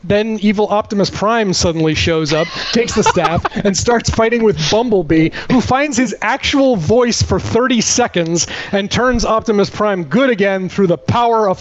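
A man's voice: sits high, dull audio, slight background noise. A man giving a comedic movie plot recap as a podcast host, with sarcastic, exasperated storytelling.

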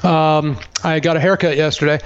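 A man with a fairly high, smooth voice talks casually as a podcast co-host. His delivery is conversational and rambling. The audio is somewhat dull, like an internet call. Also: steady tone, moderate pace. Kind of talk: casual tech chat.

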